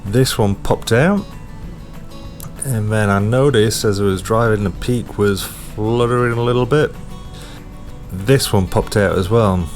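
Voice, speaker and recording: Slow-paced male motorcycle vlogger. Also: lowish voice. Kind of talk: casual vlog narration.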